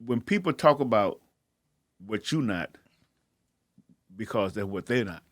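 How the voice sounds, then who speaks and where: A man's voice: on the low side, lively intonation, a little slow, fairly bright tone, no echo. A man with a stammer telling personal stories in casual conversation, speaking plainly in everyday vernacular. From grew up in Baltimore, then Houston. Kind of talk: casual storytelling.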